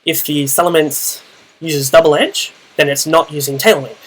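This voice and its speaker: A young Australian man with a naturally loud voice, casually narrating his own Pokemon battle, thinking aloud with fillers. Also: on the high side, steady tone, normal speed, slight background noise. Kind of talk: casual play-by-play gaming commentary.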